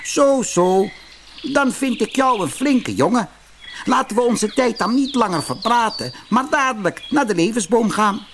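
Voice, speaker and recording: A man voicing a tiny, kindly old gnome gardener in a storybook, friendly and brisk. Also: extremely high pitch, lively intonation, a bit bright, slight background noise, pitched above the performer's own voice, exaggerated voice. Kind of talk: storybook character voice.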